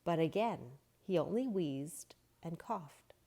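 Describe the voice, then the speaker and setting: A woman reading a children's story aloud in a gentle, expressive, unhurried way. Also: lowish voice. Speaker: storybook reader.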